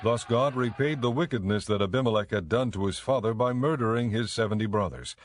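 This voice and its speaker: A man narrating a dramatized audio Bible in a measured, clearly enunciated storytelling style. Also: medium pitch, background noise.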